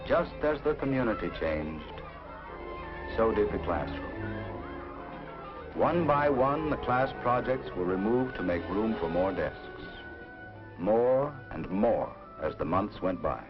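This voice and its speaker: An American man reading scripted 1950s educational film narration in dramatic, measured short phrases. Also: fairly low pitch, animated, music in the background. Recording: dull vintage film soundtrack.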